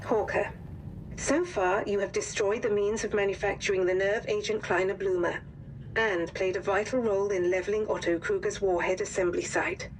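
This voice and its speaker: An actress playing a resolute female wartime intelligence officer, delivering a formal, measured mission briefing in a video game. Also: mid-range voice, fairly even intonation, lightly acted. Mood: composed, serious. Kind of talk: scripted mission briefing narration. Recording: faint background noise.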